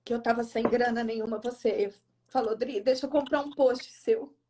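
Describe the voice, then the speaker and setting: A woman's voice: on the high side, steady tone, moderate pace, noisy background. A woman with a Brazilian accent, chatting informally and using slang as a co-host. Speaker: live chat co-host. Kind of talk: casual chat. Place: remote social media live video call.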